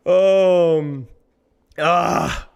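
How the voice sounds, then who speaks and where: A man's voice: high voice, extremely slow, vocal fry, clear, resonant tone. A man streaming live, chatting casually to his viewers in an exasperated, self-mocking, rambling way.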